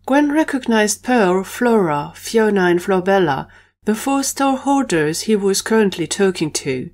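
A woman's voice: mid-range voice, animated, normal speed, darkish tone. A woman narrator reading scripted storytelling for an audio drama.

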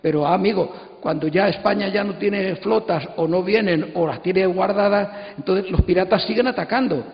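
A man's voice: high-pitched, normal speed, vocal fry, few pauses. A man in his sixties with a Castilian Spanish accent, speaking emphatically and digressively as a panelist, repeating phrases as he explains. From Spain. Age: 60s. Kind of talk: animated informal lecture to an audience. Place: stage of an auditorium. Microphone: handheld microphone. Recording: echoey hall PA, dull sound.

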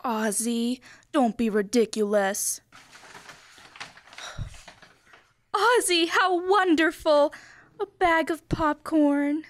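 A woman's voice: high voice, expressive, slow, a little hiss or hum. A young woman playing an affectionate, eager housewife in old-time radio comedy. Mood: affectionate, excited. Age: teens or young adult. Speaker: high school student actor. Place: school library room. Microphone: stand microphone.

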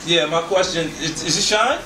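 A man's voice: fairly high pitch, normal speed, reverberant, very noisy. A man talking in casual, profane street slang on a phone call in a small office. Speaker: prank caller.

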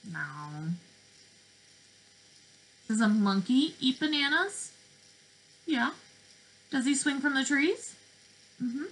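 A woman in her twenties, a speech therapist, reading to preschoolers in an animated, questioning way, recorded on a webcam in a bedroom. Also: sits high, slow, long pauses, low-quality recording. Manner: animated, questioning delivery for young children. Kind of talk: interactive children's read-aloud lesson. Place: small bedroom indoors.

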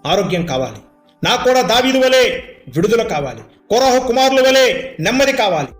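High-pitched male preacher in echo. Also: fairly bright tone. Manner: emphatic, repetitive exhortation with rhetorical questions. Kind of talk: sermon and prayer. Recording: echoey, with music behind. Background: music.

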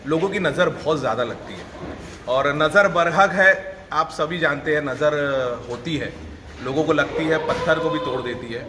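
A man's voice: a little high, moderate pace. A man in his thirties who runs a goat farm, chatting casually for his vlog and coaxing others to join in, filmed in a covered shed at night. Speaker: goat farm owner and vlogger. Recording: echoey shed with loud background noise.

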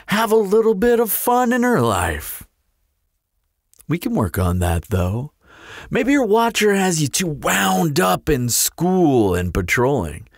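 A man's voice: mid-range voice, no room sound, clean studio sound. A man playing a flirtatious, sardonic vampire in a roleplay audio drama, talking in a glib, teasing, playful drawl. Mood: playful, cocky. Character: flirtatious, sardonic male vampire toying with a slayer.

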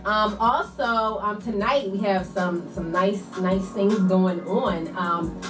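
A woman's voice: mid-range voice, slow. A young woman hosting a music radio show with casual, playful, teasing banter. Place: small office radio studio. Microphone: desk microphone on a boom stand. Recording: distant, echoey mic with music underneath.

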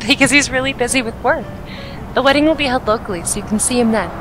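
Woman playing a gentle happy young bride to be, highish voice, radio drama with music under. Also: quick pace, natural voice. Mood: fond. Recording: music behind the voice.

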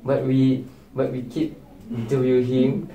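A man's voice: mid-range voice, little pitch movement, a little slow, warm tone, highs cut off. A young man, speaking hesitantly and repeating words in a student discussion. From Malaysia. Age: young adult. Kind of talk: student group reflection discussion. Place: indoor meeting room at a table. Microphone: far camera. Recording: distant echoey room with noise.

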